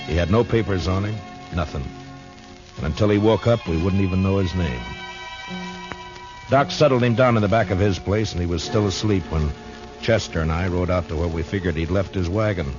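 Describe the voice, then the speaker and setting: An actor playing a deep laconic frontier marshal. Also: male voice, lightly acted. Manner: calm, measured, laconic. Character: Matt Dillon, steady frontier marshal. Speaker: narrator. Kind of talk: 1950s radio drama acting. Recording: dull lo-fi old radio recording with music.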